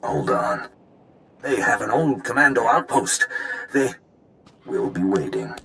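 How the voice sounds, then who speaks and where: A man playing a secretive, coolly menacing villain in a video game, speaking in a measured, gravelly voice. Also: wildly swooping pitch, pushed and bright, slight background noise, heavily acted. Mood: terse. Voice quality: gravelly, very deep.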